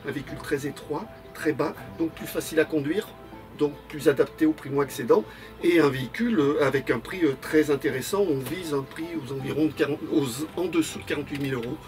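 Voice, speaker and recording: A man's voice: a little high, fairly quick, over music. Matter-of-fact man explaining a motorhome. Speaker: manufacturer representative. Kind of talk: product explanation in an interview.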